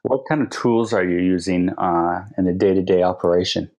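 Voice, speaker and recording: This is a man with a low voice who hosts a podcast. He keeps things moving with brief prompts and quick acknowledgements. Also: darkish tone. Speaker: podcast host. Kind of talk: interview questions.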